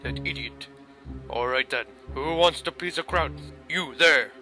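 A man's voice: on the high side, measured pace, sharp tone. A man playing a curt, commanding fighters' coach in a fantasy parody. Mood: impatient. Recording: music behind the voice.

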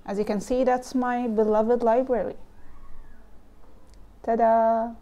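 Egyptian-accented young woman with a mellow voice. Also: fairly high pitch, little pitch movement, takes it slowly. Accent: Egyptian Arabic. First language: Arabic. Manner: nervous, thoughtful, with frequent ums and likes. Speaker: vlogger. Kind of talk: casual explainer vlog. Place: home room with bookshelves, indoors. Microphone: lapel mic, camera close.

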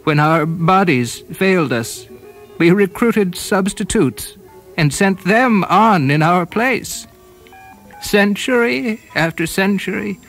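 A man voicing a frail old sage in a science fiction story, humble but defiant, creaky and deliberate. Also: high-pitched, a bit bright, voice pushed up, full character voice, low-quality recording. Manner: deliberate, dutiful, measured. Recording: music behind.

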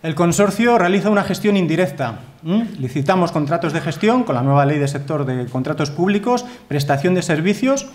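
A man's voice: on the high side, expressive, fairly quick, darkish tone. Earnest Spanish man giving a talk. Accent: Spanish from Spain. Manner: earnest, emphatic, frequent tag questions. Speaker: conference speaker. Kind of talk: formal conference talk with slides. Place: wood-panelled lecture hall.

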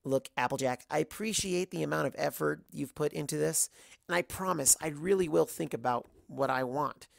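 Narrator as a hesitant bookish mare, creaky. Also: mid-range voice, normal speed, no room sound. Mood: weary, polite. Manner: hesitant, reasonable. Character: shy, bookish, flustered young mare pony.

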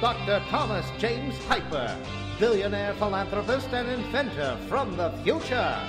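A man's voice: high voice, expressive, normal speed, over loud music. A man voicing a dramatic announcer for an adventure serial's theme, in a bombastic, theatrical manner. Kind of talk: theme song announcing over music.

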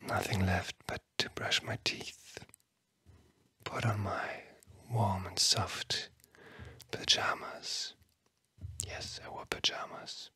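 A tired ASMR host speaks in a very breathy, crisp-edged whisper. The delivery is hushed and soothing, unfolding very slowly with long pauses, like a bedtime ramble. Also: sits low, steady tone, dry recording.

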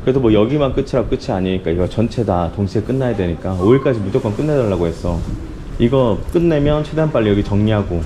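A man's voice: on the low side, expressive, fast talker. A man hosting a vlog, talking casually on location as he walks around and speaks with staff. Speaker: vlog host and business owner. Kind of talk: casual on-site chat. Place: indoor shop under renovation and offices. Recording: noisy handheld camera location sound.

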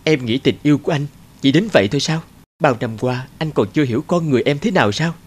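An actor voicing a devoted, protective young fiancé in an animated drama, earnest, emphatic and tender. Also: male voice, medium pitch, fast, soft background music, close to an everyday voice. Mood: earnest, pleading. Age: young adult.

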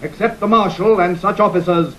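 A man as a high-voiced medieval herald-marshal. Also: steady tone, fairly quick, lightly acted. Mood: ceremonial. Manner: ceremonial, proclaiming. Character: formal medieval Lord Marshal proclaiming at lists. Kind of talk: classical Shakespearean audio drama acting. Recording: noisy 1960 audio drama recording.

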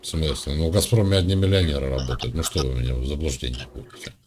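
A man from Russia hosting a chat, needling and mocking the person he talks to in a deadpan way. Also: extremely low pitch, fast talker, noisy background. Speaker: host. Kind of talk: mocking video-call chat.

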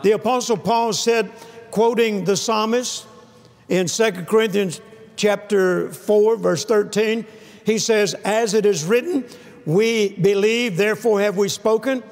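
A man's voice: sits high, unhurried, a little mellow. An older man preaching a sermon to a live audience on a church stage, emphatic and repeating key phrases, often calling for amens. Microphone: lapel mic. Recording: long hall reverb.

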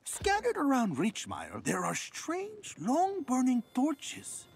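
A man playing an eccentric, excitable fire-obsessed researcher in a video game, theatrical and eager, with a husky voice. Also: very high-pitched, slow pace, slight background noise, exaggerated voice. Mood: excited, eager. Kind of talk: video game character dialogue.

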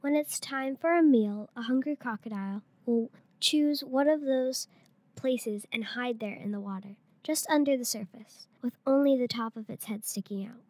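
A girl of about eight or nine, a third-grade pupil, reading a picture book aloud in a halting way. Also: a little high, darkish tone, no room sound, rough recording. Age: child, around eight or nine.

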